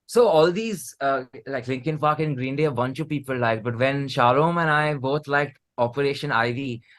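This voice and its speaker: Man, Indian accent, bright voice, medium pitch, candid musician guest, informal chat. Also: moderate pace. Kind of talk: casual chat.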